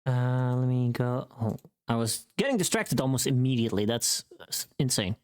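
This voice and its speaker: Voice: mid-range voice, moderate pace, a little mellow, smooth. A livestreamer chatting casually while working, easily distracted and prone to exclamations.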